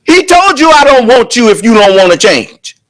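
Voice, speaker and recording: A man with a raised, high-pitched voice preaches a sermon to his congregation. He speaks loud and emphatic and keeps firing rhetorical questions at his listeners. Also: very animated, normal speed. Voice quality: loud, booming voice. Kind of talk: sermon at a prayer service.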